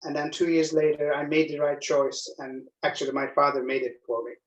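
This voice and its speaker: A man in his forties with a Turkish accent, hosting a casual live chat as a cellist, speaking in a friendly, halting way with many ums. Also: a little high, flat delivery, distant mic. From Turkey. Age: 40s. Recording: echoey room, voice off-mic.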